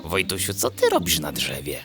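A man voicing a curious, eager young male fox in a squeaky, singsong children's storybook voice. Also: wildly swooping pitch, moderate pace, music in the background, full character voice. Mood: curious, puzzled.

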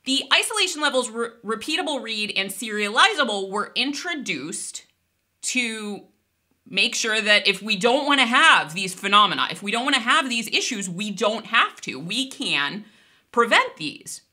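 A woman in her forties explaining a technical topic in a lively, conversational video lesson, gesturing as she talks. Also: fairly high pitch, moderate pace. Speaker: database instructor.

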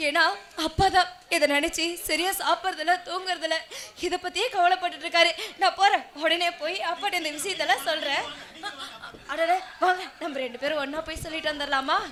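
A woman's voice: extremely high pitch, fairly even intonation, brisk, a bit bright. A woman playing a distraught, self-sacrificing and stubborn wife in an emotional, pleading melodrama. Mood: relieved, eager. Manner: emotional, pleading, often tearful. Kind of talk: melodramatic Tamil drama acting.

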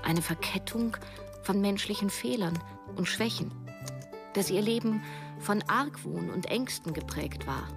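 An actress in her thirties playing a reflective writer who narrates a radio drama in a measured, literary way. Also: medium pitch, expressive, creaky, music in the background. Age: 30s. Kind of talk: scripted first-person radio drama narration.

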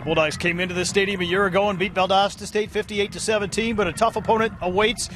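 A man's voice: high voice, steady tone, fast, fairly bright tone. A middle-aged man hosting a sports preview with polished broadcast announcer delivery, speaking outdoors into a handheld microphone. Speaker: team play-by-play announcer and host. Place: outdoors in an open football stadium. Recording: outdoor noise behind voice.

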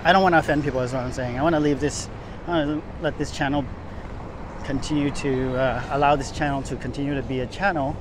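A man giving a casual, rambling walking tour as a vlogger, often repeating and rephrasing himself as he goes. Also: medium pitch. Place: outdoors, walking on downtown sidewalks. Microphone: handheld camera while walking. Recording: clear outdoor city street noise, traffic.

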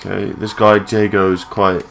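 A young man with a low voice giving rambling, matey, sweary gameplay commentary in a casual unscripted way. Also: level tone, unhurried, fairly bright tone, soft background music. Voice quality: low-pitched voice. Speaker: gaming video creator. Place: his room at home. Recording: clearly noisy home mic recording.

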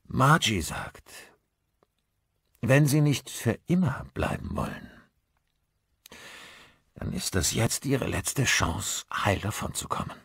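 A man voicing an aging, eccentric rocker turned spirit medium in an audiobook, speaking in a halting, urgent, intense way. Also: medium pitch, very animated, gravelly, fairly bright tone, breathy edge, dry recording, studio quality, pitched above the performer's own voice, full character voice. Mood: ominous.

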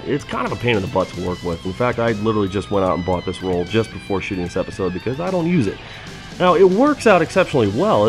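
A man in his thirties hosting a fabrication show, chatty and upbeat as he demonstrates in a metal shop. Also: fairly low pitch. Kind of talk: casual how-to demonstration.

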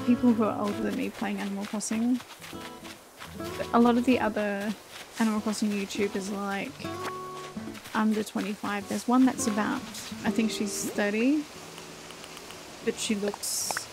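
A woman's voice: mid-range voice, fairly even intonation, normal speed, over music, low-quality recording. A woman in her forties chatting casually and self-deprecatingly while she plays a game, thinking aloud. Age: 40s. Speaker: let's play host. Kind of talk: casual gameplay commentary.

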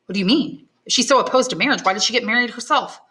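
A woman's voice: fairly high pitch, rapid-fire, sharp tone, voice pushed up. A woman reading aloud and voicing a devoted young man, earnest and reassuring, close to her own voice. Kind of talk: audiobook character voice.

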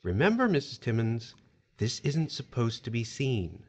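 An actor playing a calm, persuasive afterlife agent in an audio drama, measured, formal and reassuring. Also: male voice, mid-range voice. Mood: conciliatory.

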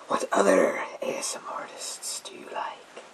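A man's voice: fairly bright tone, poor audio. Man doing a creepy whispering psychic villain. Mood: probing, sly. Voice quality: whispered, breathy and creaky. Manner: theatrical, drawn-out, eerie. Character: menacing male psychic villain in a gas mask. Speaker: ASMR roleplayer. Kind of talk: ASMR roleplay, slow theatrical whisper. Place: small indoor room with bookshelves.

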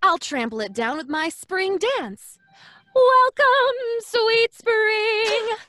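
A woman playing a brash, high-spirited young woman who wisecracks in breezy slang, in old-time radio drama style. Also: lively intonation, slow pace, pushed and bright, no room sound, studio quality, full character voice. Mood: excited.

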